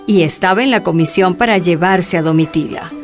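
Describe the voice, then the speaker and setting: A woman giving steady scripted narration in a radio drama. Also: fairly low pitch, brisk. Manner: steady storytelling. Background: music.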